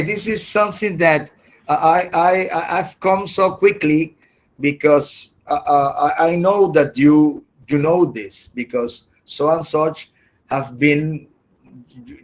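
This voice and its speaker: A man who speaks Spanish as his first language, teaching an English grammar class online, halting and repeating his words as he explains. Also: on the high side, low-quality recording.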